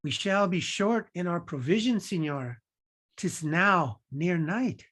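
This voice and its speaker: An anxious household servant, played by a woman in a play reading, respectful and worried. The voice is slightly low and unhurried. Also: animated, fairly bright tone, slightly rough, exaggerated voice. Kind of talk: table read of a Shakespearean-style play. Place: indoors, on a video call.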